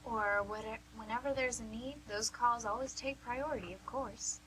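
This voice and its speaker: A woman's voice: on the high side, normal speed, fairly bright tone, natural voice, rough recording. A woman playing a perky, flustered and apologetic visitor in an amateur radio play, hesitant and scatterbrained. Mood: chirpy. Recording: hum and faint background noise.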